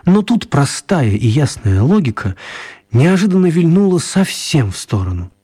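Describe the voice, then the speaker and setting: A man narrating a children's radio story in a wry, confiding way, with expressive, deliberate phrasing and dramatic pauses. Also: on the high side, husky. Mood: dramatic storytelling.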